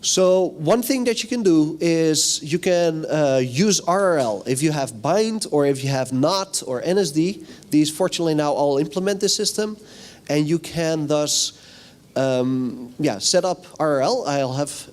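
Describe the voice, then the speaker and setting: A man's voice: fairly high pitch, animated, a little slow, a little mellow. Dutch man giving a casual tech talk. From Netherlands. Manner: casual, chatty, asks the audience questions. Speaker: conference speaker. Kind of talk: technical conference talk. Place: hotel conference room.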